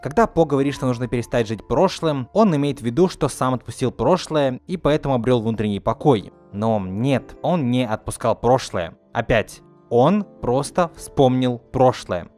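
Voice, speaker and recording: A young man delivers an opinionated video essay with lively, swooping intonation. He argues his points emphatically, at a middle pitch, with light music underneath. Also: moderate pace. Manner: argumentative, emphatic. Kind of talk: opinionated film critique narration. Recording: music behind the voice. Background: faint background music.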